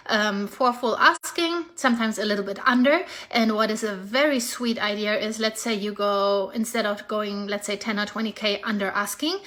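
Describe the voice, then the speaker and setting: A woman's voice: on the high side, a bit bright. A woman with a German accent, a real estate agent giving a casual, upbeat market update straight to her viewers, chatty and persuasive with small slips.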